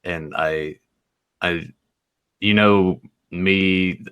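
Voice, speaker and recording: Low-ish guy rambling thru childhood stories on a podcast, cusses a lot, laughs at himself. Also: fairly even intonation, very slow, fairly bright tone, clear, resonant tone. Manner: rambling storyteller, swears freely, laughs at himself. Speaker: podcast host. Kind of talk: casual chat.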